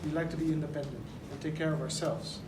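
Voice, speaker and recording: A man speaks at a press event and lays out his points earnestly, one step at a time. His voice sits a little high for a man. The sound is roomy and noisy, and the mic is some distance from him. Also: fairly even intonation, fast. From Netherlands. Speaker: event organizer. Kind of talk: press conference talk. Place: large indoor venue. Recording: echoey room, mic far off, noisy.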